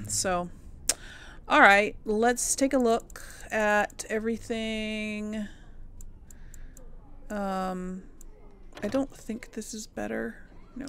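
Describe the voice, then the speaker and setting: A woman's voice: mid-range voice, slight background noise. A woman hosting a casual game playthrough, thinking aloud hesitantly and murmuring to herself as she goes. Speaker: gaming video host.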